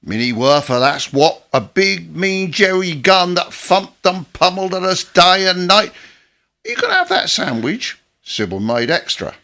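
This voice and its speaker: An actor playing a chirpy, gruff working-class father and war veteran in a radio play, with blunt, teasing, colloquial talk. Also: high voice, very animated, measured pace, bright, forceful tone, exaggerated voice. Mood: teasing, jovial.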